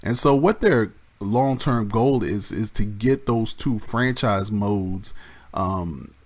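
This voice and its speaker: Low-ish raspy guy just chatting about a game, lots of ums, kinda all over the place. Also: takes it slowly, darkish tone. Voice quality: slightly raspy. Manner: rambling, restarts with many ums. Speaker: gaming YouTuber. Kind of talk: casual explanatory talk.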